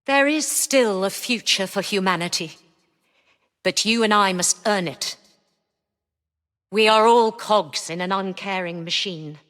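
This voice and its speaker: An actress playing a cynical, commanding spaceship mistress in a video game, imperious, dismissive and dryly sardonic. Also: female voice, medium pitch, a bit bright. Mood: grave, resigned. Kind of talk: scripted video game voice lines. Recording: long reverberant hall echo.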